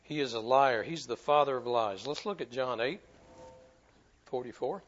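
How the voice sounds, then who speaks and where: A man's voice: medium pitch, normal speed, muffled recording. A man preaching a sermon to his church in a folksy, repetitive way, often stumbling over his words. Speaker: pastor.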